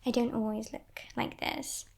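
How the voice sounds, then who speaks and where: A woman voicing a playful, menacing female demon in a breathy, teasing whisper for an ASMR roleplay. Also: on the high side, little pitch movement. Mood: breezy, condescending. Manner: teasing, condescending, softly sinister, unhurried.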